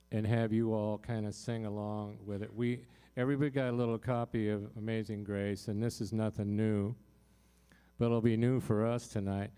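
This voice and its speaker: A man's voice: fairly low pitch, warm tone, clean tone. An older man talking casually to an audience from a small hall stage, joking and chatty into a handheld mic. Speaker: performer hosting a concert.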